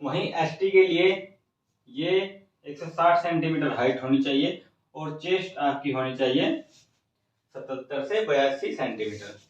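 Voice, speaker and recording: A man's voice: a little high, animated, measured pace. A man explaining exam updates to students in an informal teacherly way, often checking in with short phrases. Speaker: exam coaching presenter. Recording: muffled, echoey room, distant microphone.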